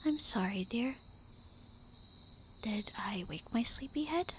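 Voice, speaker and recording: A woman voicing a tender, melancholy immortal vampire wife in a breathy, intimate whispered ASMR roleplay. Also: a little high, lively intonation, slight background noise. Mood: soothing. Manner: slow, intimate, wistful murmuring.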